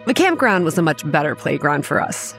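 A woman's voice: low-pitched, very animated, fairly quick, fairly bright tone, full character voice. Matter-of-fact young woman narrator over music. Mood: measured, reminiscing. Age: young adult. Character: young woman campground manager, matter-of-fact and self-assured. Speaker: narrator and lead character. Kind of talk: scripted first-person horror drama narration.

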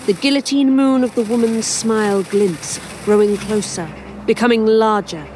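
A woman's voice: a little high, normal speed, a little mellow, lightly acted. Actress as a theatrical woman, faint noise. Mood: ominous narration. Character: wry, menacing injured woman narrating her tale. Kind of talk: audio drama acting and narration.

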